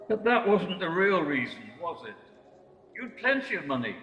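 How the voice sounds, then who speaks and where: A man's voice: sits high, big pitch swings, normal speed, a bit bright, a little hiss or hum. Man as a solemn, echoing ghost. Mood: accusing. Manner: solemn, probing, commanding. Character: stern, solemn, accusing male ghost of Christmas past. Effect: ghostly reverb. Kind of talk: amateur radio play acting. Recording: echoey, long reverb.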